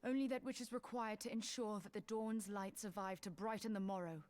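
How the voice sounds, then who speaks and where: An actress playing a composed young female cat-eared mage who speaks in a measured, formal way with dry wit, in a game cutscene. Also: mid-range voice, fairly even intonation, darkish tone, natural voice. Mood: cool. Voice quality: measured, formal and dryly witty.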